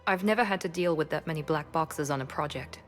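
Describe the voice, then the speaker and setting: An actress playing a proud, guarded operative in a video game, with a controlled, earnest delivery. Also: female voice, deep voice, a little fast, soft background music, lightly acted. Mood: confessional. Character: guarded, proud female operative with a troubled past.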